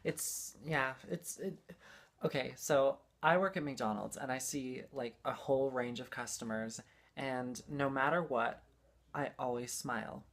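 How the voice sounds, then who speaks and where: A young adult with a mid-pitched voice talks casually and earnestly, as a guest sharing personal stories. The delivery is emphatic and rambling, circling back over phrases to stress them. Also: a little slow, a bit bright. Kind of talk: casual heartfelt storytelling.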